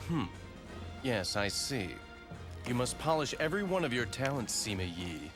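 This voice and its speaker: A man's voice: medium pitch, big pitch swings, moderate pace, close to an everyday voice. A man as a haughty young lord. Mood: condescending. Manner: condescending, formal. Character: haughty young male lord, heir to power. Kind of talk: video game cutscene acting. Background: game music score.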